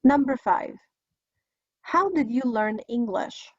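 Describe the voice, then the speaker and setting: A woman's voice: on the high side, expressive, takes it slowly, no echo. A middle-aged woman with a Polish accent giving an English language lesson, enunciating carefully in an instructional way. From Poland. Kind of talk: scripted video lesson with listen-and-repeat drills. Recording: dry, slightly dull lo-fi recording.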